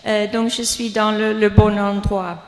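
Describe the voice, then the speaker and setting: A woman's voice: medium pitch, flat delivery, a little slow. Older woman, hesitant, echoing hall. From United States. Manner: hesitant, many fillers and restarts. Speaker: guest speaker, professor. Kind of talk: academic lecture. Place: lecture hall. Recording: long reverb of a hall.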